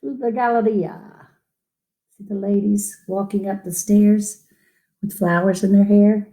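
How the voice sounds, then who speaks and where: A woman's voice: mid-range voice, steady tone, measured pace, dark tone, poor audio. A woman reading a picture book aloud to children as a storyteller, with dramatic emphasis and asides to her listeners.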